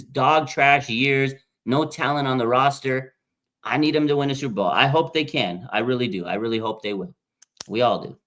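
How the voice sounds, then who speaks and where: An American man of about forty from Las Vegas, hosting a casual live stream and reading out fan comments in an excitable way. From Las Vegas, Nevada, USA. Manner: excitable, with sudden pitch jumps. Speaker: livestream host.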